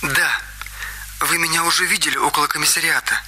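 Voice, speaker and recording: A man's voice: a little high, steady tone, fairly quick, a little hiss or hum, rough recording. An actor playing a young male student who earnestly explains how a murder was staged, in a radio drama. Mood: tense. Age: young adult. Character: young male student, nervous but sharp-minded. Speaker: witness explaining the crime. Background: loud music score.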